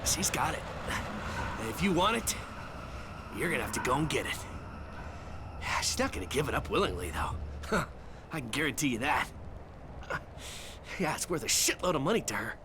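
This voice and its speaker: A man's voice: medium pitch, sing-song, normal speed, long pauses, background noise, lightly acted. A man playing a sneering, foul-mouthed captive villain who taunts his captors in a video game cutscene. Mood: smug. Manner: mocking, taunting, profane. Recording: loud music under the voice.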